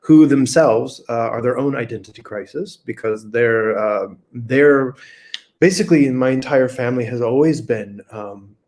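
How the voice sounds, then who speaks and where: A man talking as a guest over a video call, energetic and thinking aloud with many restarts. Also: mid-range voice, unhurried. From United States. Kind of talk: unscripted conversation.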